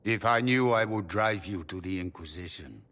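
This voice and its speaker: A man's voice: deep voice, moderate pace, a bit bright, long reverb tail. An actor playing a regretful older nobleman father in a video game, solemn and pleading. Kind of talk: dramatic video game acting.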